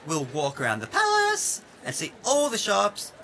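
A woman voicing a childlike, innocent and excitable magical son in an audio drama, with an eager manner. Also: mid-range voice, moderate pace, slight background noise, exaggerated voice, rough recording. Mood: eager, excited.

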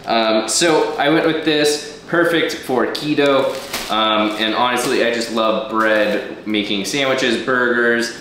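A man in a relaxed, casual walkthrough of the food he bought, filling his pauses with ums and uhs. His voice sits at a middling pitch and bounces around a very echoey room, with faint noise underneath. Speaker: fitness vlogger. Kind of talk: casual grocery haul walkthrough.

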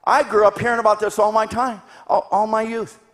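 Emphatic older male preacher, swooping pitch, echoing hall. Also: flat delivery, a bit bright. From grew up in Tanzania. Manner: emphatic, with rhetorical questions and deliberate pauses for stress. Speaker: guest preacher. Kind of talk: sermon to a congregation. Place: large church sanctuary, indoors. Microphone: handheld microphone. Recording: echoey church hall sound.

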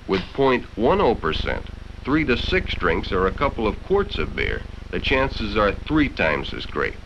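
A man's voice: lowish voice, wildly swooping pitch, a little slow, fairly bright tone, slight background noise. An American man narrating a 1960s educational film from a script in a stern, lecturing, condescending manner. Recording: dull vintage film soundtrack with music.

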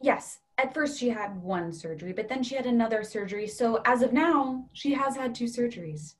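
An actress playing a calm young woman in a play reading, slightly high in pitch. She is deadpan and literal, holding her ground matter-of-factly. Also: normal speed, lightly acted. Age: young adult. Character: calm, matter-of-fact woman defending a small change. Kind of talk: script read-through of a one-act play. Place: indoors at home on a video call.

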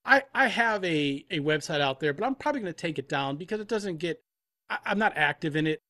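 A man's voice: fairly high pitch, animated, brisk, bright, forceful tone, no echo, studio quality. A man chatting casually as an interview guest, telling stories with repeated words and asides. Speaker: guest, composer and audio drama creator. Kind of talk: casual chat.

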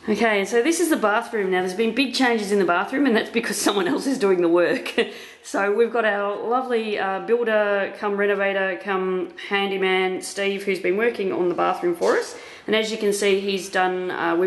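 A woman giving a chatty, upbeat walkthrough of a house renovation, filmed on a handheld camera. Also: medium pitch. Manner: chatty, upbeat, full of fillers. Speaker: property investor renovating a house. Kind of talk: walkthrough vlog narration. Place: empty house under renovation, indoors. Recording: echoey bare rooms.

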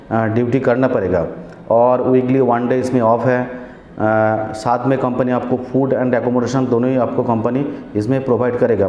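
Steady, flat-voiced man in an echoey office. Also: medium pitch, muffled recording. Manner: steady, repetitive, with many "aa" fillers and restarts. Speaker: visa agent and channel host. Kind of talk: casual explainer to camera. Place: small office, indoors. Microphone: clip-on lapel mic. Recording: echoey office with faint room noise.

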